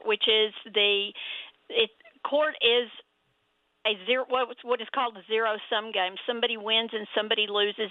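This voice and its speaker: A woman with a Texas accent, a family lawyer explaining her work in measured answers as an interview guest. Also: mid-range voice, no echo. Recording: tinny phone line.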